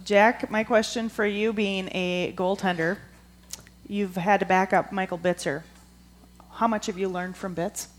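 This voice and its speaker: Woman, mid pitch, casual co-host, live on-stage interviewer. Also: fairly even intonation, measured pace.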